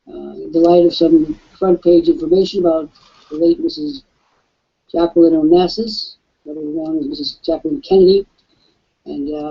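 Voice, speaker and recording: A raspy-voiced man hosting a live webcast and pitching an offer, emphatic like a salesman. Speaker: webcast host. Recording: dull lo-fi webcast audio.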